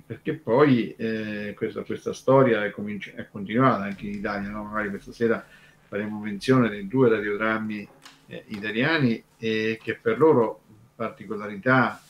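A man explaining conversationally on a radio show, discursive and hesitant, repeating words as he goes. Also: fairly low pitch. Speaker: radio show co-host and commentator.

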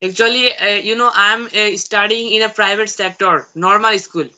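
Hesitant teenage boy, bright flat voice. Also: high-pitched, normal speed, poor audio. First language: Bangla. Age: teens. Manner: hesitant, many fillers and restarts. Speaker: student learner. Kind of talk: English conversation practice on a video call. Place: room at home. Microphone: phone on a video call.

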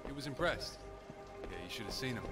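Man voicing a smooth-talking flirt, flattering, smug, game music behind. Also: medium pitch, fairly quick, close to an everyday voice. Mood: casual. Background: game score music.

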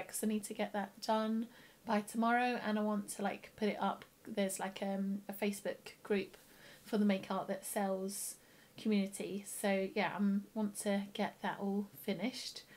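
A young woman with a croaky, tired voice, chatting casually to camera in her home studio. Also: mid-range voice, little pitch movement, a little slow. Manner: chatty, rambling, with soft laughs. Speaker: illustrator vlogger. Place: home studio room with plants.

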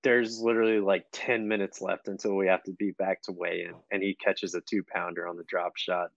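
Low, dull-sounding man chatting casually. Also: level tone, a little fast, vocal fry, no room sound. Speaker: podcast guest, tournament angler. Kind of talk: casual chat. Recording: dull, lo-fi call audio.

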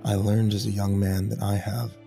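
A man voicing a weary, remorseful, devout older killer in a story, with a raspy, murmuring, halting delivery. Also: lowish voice, level tone, dark tone. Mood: somber, confessing. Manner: slow, exhausted, halting. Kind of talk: dramatic story character voice. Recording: background music under the voice.

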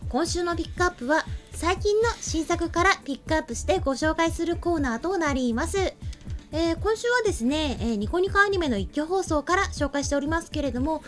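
High-pitched, quick-talking young woman host. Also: animated. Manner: polite, orderly. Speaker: show host. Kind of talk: polite hosting and announcements. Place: small indoor studio set, seated at a table. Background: faint background music.

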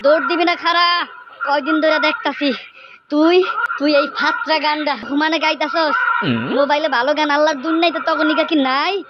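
Woman as a shrill, furious Bangladeshi village mother. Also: moderate pace, highs cut off, very noisy, lightly acted. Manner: scolding, blustering. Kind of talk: comic cartoon acting.

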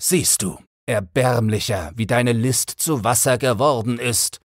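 Storybook bandit leader, acted by a male narrator: fearsome, commanding, formal menace, husky, close dry sound. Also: medium pitch, unhurried, dry recording, pitched above the performer's own voice, lightly acted. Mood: wrathful menace. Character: fearsome, stern, wrathful male robber chief.

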